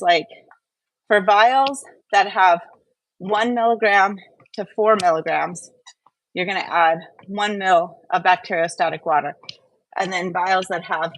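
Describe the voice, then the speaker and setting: A woman's voice: mid-range voice, fairly bright tone, rough recording. A woman hosting a casual livestream, explaining things step by step in a conversational, repetitive way. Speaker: host of a live wellness stream.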